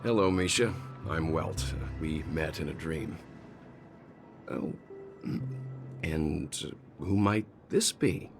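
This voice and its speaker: An actor playing a composed, thoughtful mature man in a video game, speaking in a measured, formal and deliberate way. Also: extremely low pitch, creaky, music in the background, full character voice. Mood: calm, curious.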